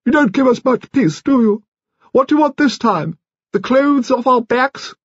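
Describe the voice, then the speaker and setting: Man voicing an imperious, high-pitched old British dowager. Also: lively intonation, fairly quick, darkish tone, husky, dry recording, dull audio. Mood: shouting, indignant. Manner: grand, cross, declamatory. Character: imperious, crusty old aristocratic grandmother, grandly blunt. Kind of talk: audiobook character acting.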